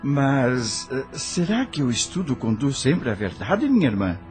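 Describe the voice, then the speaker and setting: A man's voice: medium pitch, sing-song, unhurried, darkish tone, faint music behind. A man playing an earnest, resolute widowed doctor in an audio drama. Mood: questioning.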